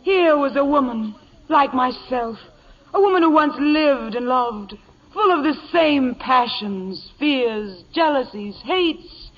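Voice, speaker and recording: Through a muffled old radio recording comes a vain glamour actress. Her high voice is affected and gushing, every phrase self-dramatizing. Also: lively intonation, slow pace, exaggerated voice. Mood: melodramatic. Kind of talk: 1940s radio comedy acting.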